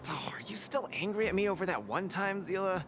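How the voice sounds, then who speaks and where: An actor playing a cheerful, boastful young fight champion in a video game, talking in an eager, playful way. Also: male voice, high voice, expressive, a little fast. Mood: coaxing. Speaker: cage fighter. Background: game music.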